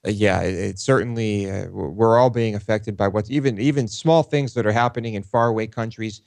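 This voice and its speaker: A man's voice: lowish voice, fairly quick, creaky, a little mellow, no echo, studio quality. A man co-hosting a talk show, chatting casually and hesitantly. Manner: hesitant, many fillers and restarts. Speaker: co-host. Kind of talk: casual chat.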